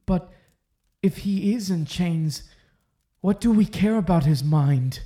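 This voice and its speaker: An actor playing a timid, obedient young Puritan sheriff and nephew in an audio drama, hesitant and deferential. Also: male voice, sits high, lively intonation, slow pace, dark tone. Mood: uncertain, eager. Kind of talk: full-cast audio drama acting.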